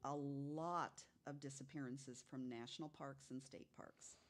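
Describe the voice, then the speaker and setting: A woman's voice: very deep voice, steady tone, unhurried. A woman telling stories as a guest in a casual podcast conversation, with an emphatic, agreeing way of talking.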